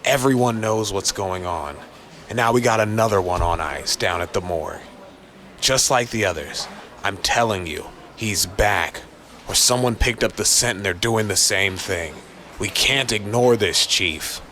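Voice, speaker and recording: A man's voice: on the low side, unhurried, pushed and bright, a little hiss or hum. A man voicing a determined, insistent New York police detective, urgent and streetwise, in a noir audio drama.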